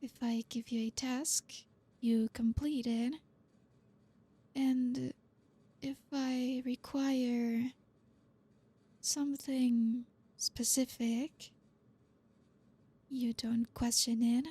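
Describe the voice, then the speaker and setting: A woman playing a flirty, dominant boss in an ASMR roleplay, speaking softly and breathily close to the mic in a teasing, commanding way. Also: fairly high pitch, little pitch movement, exaggerated voice. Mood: cool, teasing. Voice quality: soft, breathy, often near whisper. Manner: slow, commanding, teasing, with pauses. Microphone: close mic, dry.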